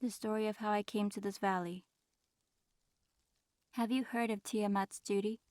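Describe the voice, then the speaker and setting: An ancient dragoness in human form , voiced by a woman, speaks for an audio roleplay. Her voice sits at a medium female pitch, recorded close and dry. She is regal and aloof, choosing formal, measured words with a guarded reserve. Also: steady tone, darkish tone, no room sound, natural voice. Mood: reserved, mildly intrigued. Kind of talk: audio roleplay, in-character monologue addressed to listener.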